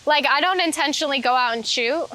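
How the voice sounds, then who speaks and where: Woman, bright forward voice, fairly high pitch, casual reflective interview chat, meandering phrasing, frequent "like". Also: quick pace, low-quality recording. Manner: meandering, says "like" often. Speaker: interviewed street photographer and painter. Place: outdoors in a city park.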